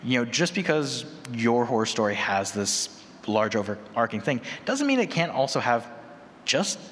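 A man's voice: medium pitch, big pitch swings, moderate pace, a bit bright. A man speaking conversationally as a podcaster on a panel. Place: large convention room with audience. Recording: echoey hall PA, noisy room.

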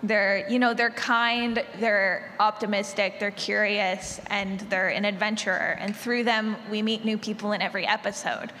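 A woman's voice: medium pitch, steady tone, normal speed, few pauses, sharp tone. A woman speaking off the cuff on a panel as an audio drama creator. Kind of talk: unscripted panel discussion. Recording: echoey hall with faint noise.

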